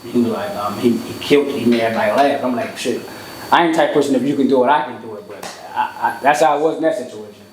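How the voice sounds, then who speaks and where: A man with a Baltimore accent, talking quickly and casually in slang as a comedian being interviewed. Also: medium pitch. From Baltimore, United States. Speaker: podcast guest, comedian. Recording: echoey room, background noise.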